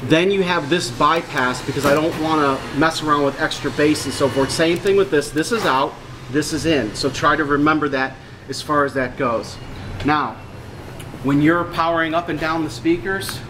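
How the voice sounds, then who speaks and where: A man giving a casual, hands-on equipment walkthrough in a plain-spoken, explanatory way, repeating instructions as he goes. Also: a little high. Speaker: audio equipment installer giving a tutorial. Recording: clearly noisy room background.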